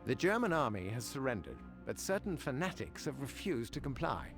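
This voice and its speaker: Authoritative officer over music. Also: male voice, mid-range voice, very animated, a little fast. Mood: measured, resolute. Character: commanding American army officer, shrewd and earnest. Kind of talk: video game cutscene acting.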